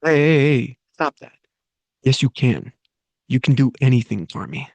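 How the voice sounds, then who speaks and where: Male reader as a fired-up, protective big brother, middle pitch. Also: normal speed, vocal fry, darkish tone, no echo. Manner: bossy, fired-up encouragement. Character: tough protective older brother, young man.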